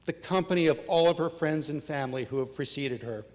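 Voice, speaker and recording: Man giving a measured eulogy. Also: a little high. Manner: measured, reading from prepared remarks. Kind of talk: prepared eulogy. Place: church sanctuary. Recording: church with long reverb.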